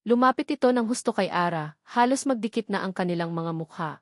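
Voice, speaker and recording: A woman's voice: mid-range voice, lively intonation, a little fast, no room sound, clean studio sound. A woman reading a scripted romance story as a dramatic narrator.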